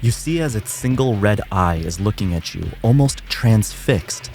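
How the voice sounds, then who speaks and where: Low-voiced man running a tabletop game. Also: expressive, measured pace, a little mellow, soft background music. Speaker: game master.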